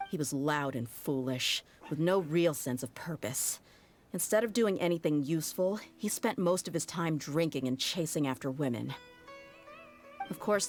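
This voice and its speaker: Brief: an actress as a candid, deep-voiced female sellsword who speaks plainly. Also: natural voice. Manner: frank, wry, matter-of-fact. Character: tough young female mercenary, blunt and candid. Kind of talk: video game cutscene dialogue.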